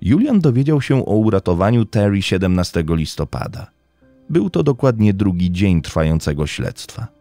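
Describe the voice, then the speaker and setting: A man narrating a scripted true crime story as podcast host, in a dramatic, suspenseful style with deliberate phrasing. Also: fairly low pitch, sing-song, warm tone, no room sound, soft background music.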